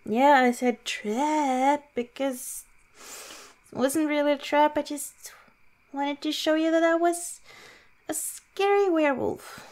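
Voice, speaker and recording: Woman playing a girlish werewolf girlfriend, high-pitched, very slow, halting, insecure, pouty, improvised ASMR roleplay. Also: steady tone, darkish tone. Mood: embarrassed, insistent. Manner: halting, pouty, self-deprecating. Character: cute, flustered, insecure young female werewolf girlfriend.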